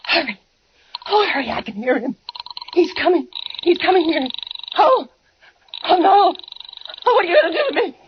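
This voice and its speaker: A frantic, terrified woman on the edge of a scream in a 1940s radio thriller. Her very high voice leaps wildly as she begs and pleads. The old recording sounds dull and muffled. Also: animated, normal speed, pauses often, noisy background. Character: terrified bedridden woman facing a killer.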